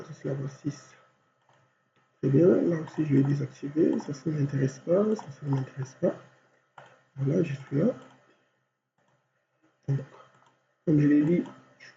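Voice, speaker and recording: A man with a cold teaching design software step by step, thinking aloud and filling gaps with constant voilàs. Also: on the high side, steady tone, unhurried, creaky, long pauses. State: has a cold. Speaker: software instructor. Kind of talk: screen-recorded software tutorial. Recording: dull lo-fi recording with faint hum.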